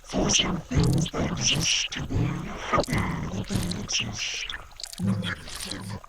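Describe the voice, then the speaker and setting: Creaky, eerie, slow creature. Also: female voice, sits low, sing-song, very noisy, exaggerated voice. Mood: morbid, bitter. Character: eerie creature droning bitterly about existence.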